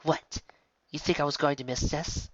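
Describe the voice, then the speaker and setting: A woman's voice: low voice, steady tone, moderate pace, no echo, pitched above the performer's own voice. A man voicing an anxious, protective young mare who stammers when flustered. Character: worried, protective young earth pony mare. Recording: dull, lo-fi home recording.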